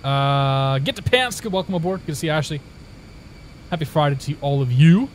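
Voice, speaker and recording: A man's voice: on the high side, animated, moderate pace, slight background noise. A young man from Indiana, a live streamer, chatting casually with viewers and telling a story in a rambling way, with many restarts.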